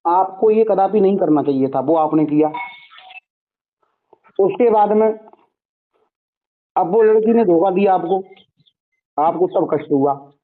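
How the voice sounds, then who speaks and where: A man's voice: high voice, a little slow, long pauses. A man on a phone call, questioning and admonishing the other person in a moralizing, conversational way. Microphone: telephone. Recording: tinny band-limited phone call recording.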